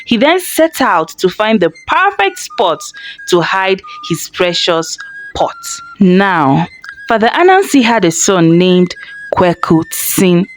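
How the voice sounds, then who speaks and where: A woman narrating a children's folktale in an expressive, dramatic storytelling manner. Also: mid-range voice, slow, rough recording. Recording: faint background noise.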